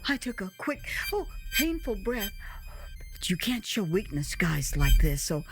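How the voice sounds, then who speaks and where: A woman reading her own memoir as dramatized storytelling, with a theatrical, expressive and emphatic delivery. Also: mid-range voice, unhurried, music in the background. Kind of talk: dramatized first-person storytelling.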